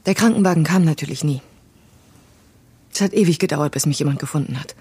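A woman's voice: deep voice, fast talker, mellow, breathy edge. Intense, wronged woman. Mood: bitter, hurt. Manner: intense, emphatic. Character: wronged woman, defiant, frightened and bitter. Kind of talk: naturalistic audio drama acting.

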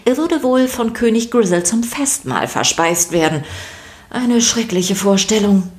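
A woman's voice: fairly high pitch, animated, measured pace. A woman narrating a scripted children's story podcast, addressing her listeners playfully and directly.

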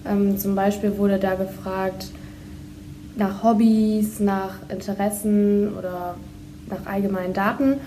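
A young woman of twenty from Lower Saxony, a bank trainee, telling her story in a matter-of-fact way. Her voice is mid-range and soft-toned, with a faint hum behind it. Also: little pitch movement, unhurried, poor audio. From Lower Saxony, Germany.

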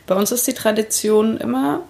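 A woman's voice: on the high side, moderate pace, gravelly, dark tone. A young woman chatting casually with her partner in an easygoing way, often laughing.

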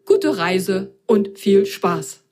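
A woman's voice: mid-range voice, animated, drawn-out words, darkish tone. A woman voicing a welcoming magic washing machine in a children's story. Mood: inviting.

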